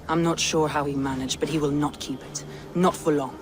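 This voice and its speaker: An actress voicing a proud, resolute Norse warlord in a video game cutscene, speaking in a measured, guarded way. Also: female voice, low voice, fairly even intonation, noisy background, lightly acted. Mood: determined. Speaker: Norse lord of a city.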